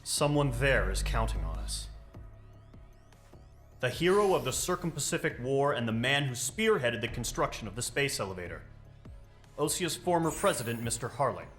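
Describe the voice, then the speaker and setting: An actor playing a stern, businesslike military officer who delivers a formal, measured mission briefing in a game. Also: male voice, mid-range voice, sing-song, fairly bright tone. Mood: grave, resolute. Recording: music under the voice.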